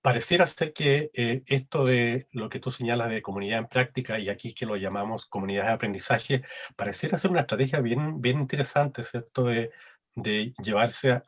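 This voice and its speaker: Hesitant man moderating, slightly husky. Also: mid-range voice. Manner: hesitant, lots of fillers and tag questions. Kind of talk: moderating a panel.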